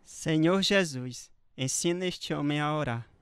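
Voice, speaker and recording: An assured Brazilian male evangelist for a radio drama. His voice is on the high side and very dry. He delivers scripture with solemn, measured conviction. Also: clean tone, no echo.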